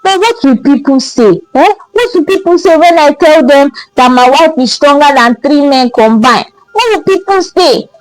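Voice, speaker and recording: A woman's voice: sits high, expressive, moderate pace, hardly any pauses, no room sound, soft background music, low-quality recording. A woman with a Nigerian accent voicing an insecure bachelor who protests plaintively in a folktale. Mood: anxious protest. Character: insecure, complaining Nigerian bachelor, worried about mockery.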